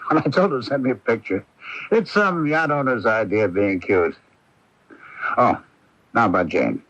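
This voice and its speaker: Genial, wry local newspaperman, lively inflection, slightly rough voice; radio drama. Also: mid-range voice, unhurried, pauses often, dry recording. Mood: matter-of-fact. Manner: folksy, wry, breezy. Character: genial, wry small-town newspaperman, chatty and teasing. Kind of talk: 1980s radio drama acting. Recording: dull, lo-fi 1980s radio broadcast.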